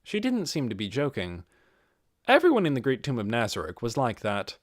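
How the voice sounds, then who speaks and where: A man's voice: mid-range voice, wildly swooping pitch, moderate pace, no room sound, clean studio sound. A man reading fantasy fiction aloud as an audiobook narrator, in a scripted storytelling style.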